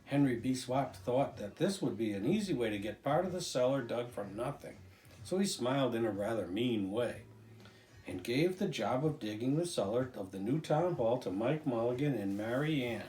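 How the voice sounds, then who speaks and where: An older man reading a children's storybook aloud, drawing out key phrases with deliberate emphasis. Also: mid-range voice, animated, a little mellow, reverberant, poor audio. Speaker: storybook reader, grandfather.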